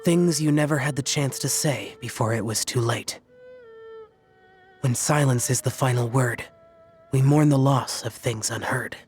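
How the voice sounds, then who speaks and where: An actor voices a young wanderer in a video game, reserved and a little melancholy. The delivery is understated and measured, mid-pitched, over faint music. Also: darkish tone, natural voice. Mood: wistful.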